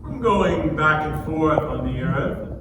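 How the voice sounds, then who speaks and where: Satan for a staged scripture reading, played by a man: sly, challenging tempter, high voice, heard from far off. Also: lively intonation, slow pace. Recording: distant, very echoey noisy hall recording.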